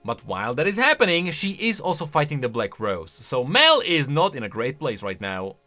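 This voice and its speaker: Male gaming lore commentator: lively swinging pitch, bright forceful tone, conversational and speculative, faint music behind. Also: normal speed. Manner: conversational, speculative, rhetorical questions. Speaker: video game lore commentator. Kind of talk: casual reaction commentary.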